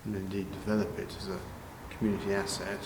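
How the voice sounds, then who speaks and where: Interviewee: older man, very low voice, halting and measured, recorded in a reverberant hall. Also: level tone. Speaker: interviewee, village pantomime organiser. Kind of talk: informal interview answers. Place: large village hall, indoors. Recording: very echoey hall, faint noise.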